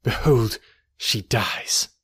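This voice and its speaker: A man's voice: very slow, fairly bright tone, dry recording. A man reading aloud voices a scorned, vengeful young woman who speaks in a haughty, archaic manner. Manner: haughty, archaic stately speech.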